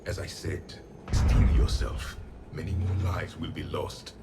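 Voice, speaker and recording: An actor voicing a cold, pompous ancient alien warrior in a video game, with measured, unsympathetic delivery. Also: male voice, a bass voice, flat delivery. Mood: cold, stern. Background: music.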